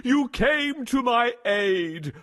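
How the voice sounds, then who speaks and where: A man's voice: lively intonation, extremely slow, fairly bright tone, exaggerated voice. A man reading a storybook and voicing a proud, blustering male lion who roars and talks down to others. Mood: grateful. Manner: booming, roaring, haughty. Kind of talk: storybook narration.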